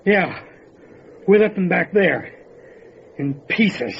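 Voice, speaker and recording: A commanding small-town sheriff, terse and urgent. His voice sits high for a man and moves dramatically. It sounds like a slightly muffled radio horror play. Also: lively intonation, slow, vocal fry, a little hiss or hum, heavily acted. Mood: grim, reasoning. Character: tough, commanding male sheriff facing horror.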